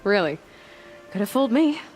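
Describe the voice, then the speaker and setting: A woman acting as a young outcast huntress in a video game, with a medium-pitched voice. She is headstrong and direct, given to dry sarcasm and pointed questions, over light background music. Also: very animated, normal speed, darkish tone, lightly acted, low-quality recording. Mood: wry.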